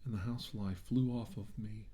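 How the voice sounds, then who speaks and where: A man doing an improvised, soft-spoken ASMR role-play as a doctor, talking in a reassuring, halting way. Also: lowish voice, steady tone. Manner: reassuring, hesitant, halting delivery. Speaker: ASMR role-player acting as a government doctor.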